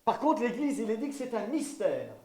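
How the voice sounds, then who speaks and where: A man's voice: very high voice, big pitch swings, moderate pace, mellow. A man from eastern France preaching a sermon as a visiting pastor, exhorting and repeating key phrases for emphasis.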